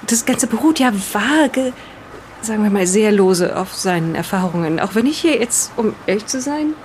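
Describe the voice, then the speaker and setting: A woman playing a polite, careful reader in an improvised audio play, speaking in a measured and slightly halting way. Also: mid-range voice, expressive, darkish tone, a little hiss or hum, lightly acted. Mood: polite, doubtful. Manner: polite, measured, halting over unfamiliar words. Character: polite, careful woman reading an explorer's old diary. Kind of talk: improvised in-character audio play with diary reading.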